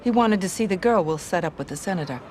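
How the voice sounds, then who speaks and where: An actress playing a shrewd, hard-nosed American brothel madam in a video game cutscene, blunt and matter-of-fact. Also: female voice, fairly low pitch, animated, fast, a little hiss or hum, close to an everyday voice.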